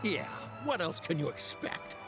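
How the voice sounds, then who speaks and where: A man's voice: on the high side, wildly swooping pitch, unhurried, vocal fry, full character voice. An actor playing an elderly, disgruntled man in a video game, complaining with scornful exaggeration. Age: older. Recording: music behind the voice.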